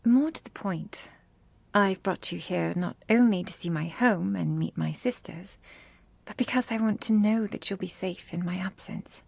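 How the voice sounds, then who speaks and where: Tender vampire girlfriend played by a woman, mellow, close and dry, slightly formal ASMR delivery with whispery edges. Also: lowish voice, moderate pace, gravelly, slightly rough, no room sound. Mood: reassuring, tender. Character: gentle protective vampire girlfriend, caring and apologetic. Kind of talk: intimate ASMR roleplay.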